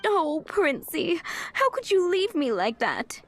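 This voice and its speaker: A woman's voice: extremely high pitch, sing-song, slow, faint music behind, full character voice. A woman voicing a fretful, doting young cat owner who speaks earnestly and emotionally.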